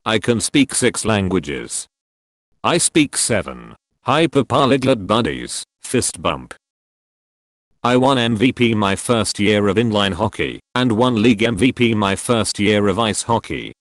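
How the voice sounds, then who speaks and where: A man narrating forum posts and their replies in a steady reading voice. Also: on the low side, measured pace, no echo. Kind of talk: scripted narration.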